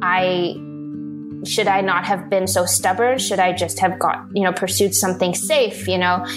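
A woman's voice: on the low side, steady tone, moderate pace, music in the background. A young woman from Hong Kong, a guest telling her story in a casual interview, rambling with many fillers and restarts. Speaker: interview guest, video producer.

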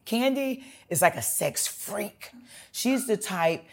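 A woman, a guest trading bawdy gossip, blunt and animated, her voice jumping high when she gets worked up. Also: slow pace. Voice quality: raspy. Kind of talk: casual gossip interview.

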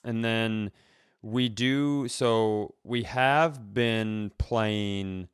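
A man's voice: on the low side, extremely slow, clear, resonant tone, no room sound, studio quality. A man co-hosting a comedy podcast, chatting casually in a rambling, bantering storyteller's way.

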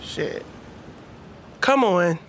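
A woman acting in an audio drama as a sassy, guarded but soft-hearted young woman, with slangy, teasing, expressive delivery. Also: on the low side, extremely slow, a little hiss or hum. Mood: exasperated.

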